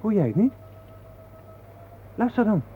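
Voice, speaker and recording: A skeptical, curious press photographer in a radio play. His voice is fairly high and swings widely as he questions things in blunt, colloquial speech. The recording is old and tinny, like a phone line. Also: very animated, measured pace, slight background noise. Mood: startled, agitated. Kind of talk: Dutch radio play acting.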